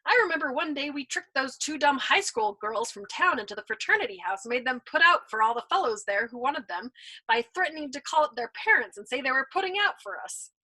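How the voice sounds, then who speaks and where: Smug pudgy airman guy, loud and bragging, bright voice , read by a woman. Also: high voice, lively intonation, fairly quick, poor audio. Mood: nostalgic. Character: smug, pudgy young male airman, boastful.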